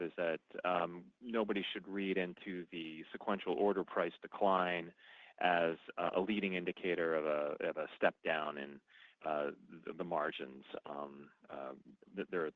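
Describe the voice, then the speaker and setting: A man's voice: deep voice, no echo. A man asking questions as an analyst on an earnings call, feeling his way through roundabout, hesitant phrasing over a telephone. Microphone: telephone conference call. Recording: narrow, tinny phone line.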